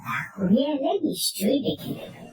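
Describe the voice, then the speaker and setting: A woman narrating a first-person horror story in a suspenseful, theatrical way with dramatic pauses. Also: high-pitched, lively intonation. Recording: roomy echo, distant from mic.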